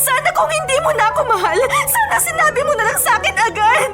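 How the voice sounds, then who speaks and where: A woman's voice: very high voice, very animated, fairly quick. A woman acting as a devoted, emotional young girlfriend in a radio love drama, with music behind her. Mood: heartbroken, furious. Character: affectionate young girlfriend, heartbroken and furious when betrayed. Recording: background music under dialogue.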